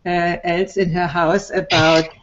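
A woman's voice: fairly low pitch, level tone, unhurried, very noisy. A middle-aged woman host steering guests and giving directions on an online call. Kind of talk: hosting an online party call. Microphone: video call. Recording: muffled video call audio.

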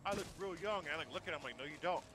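A man's voice: a little high, animated, rapid-fire, background noise. A man teasing and provoking his friends in crude banter over voice chat during a game. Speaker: gaming teammate on voice chat.

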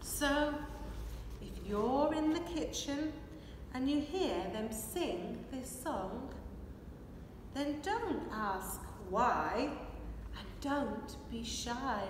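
A woman reads a children's picture book in a high voice, very slowly and with a rhythmic, expressive delivery. She is recorded at a distance in a very echoey hall. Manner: expressive, measured rhythmic delivery while signing. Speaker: children's storyteller. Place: large hard-walled hall with brick and plaster. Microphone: camera a few metres away. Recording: echoey hall, distant microphone, faint noise.

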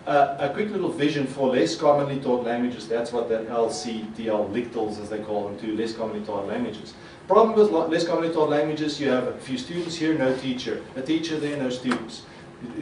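Middle-aged man, Afrikaans speaker, animated conference talk, roomy hall, voice a little distant. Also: mid-range voice, a little fast, few pauses, slight background noise. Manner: animated, gestures a lot, acts out quoted reactions. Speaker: conference presenter. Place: conference hall with projector screen. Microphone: podium mic. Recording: echoey hall, mic a bit far.

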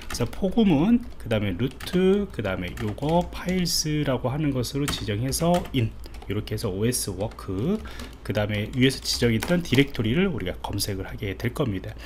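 A man's voice: medium pitch, big pitch swings, normal speed, few pauses, darkish tone. A man giving an informal step-by-step coding tutorial as an IT instructor, thinking aloud as he types. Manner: informal explanatory, thinking aloud while typing. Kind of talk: screen-recorded coding tutorial, explained step by step. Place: indoors, voice-over on a screen recording. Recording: clearly audible background noise.

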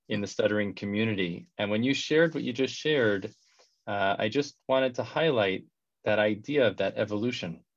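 A man in his thirties hosting a video call interview, warm and effusive, often repeating words as he talks, speaking through a headset. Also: on the low side, no room sound. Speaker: host. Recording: dull, dry video call audio.

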